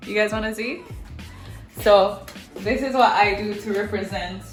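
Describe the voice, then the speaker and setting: A woman's voice: mid-range voice, unhurried, music in the background. A young woman from Jamaica with a Jamaican accent, chatting casually to camera as a vlogger and repeating words for emphasis. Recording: roomy echo.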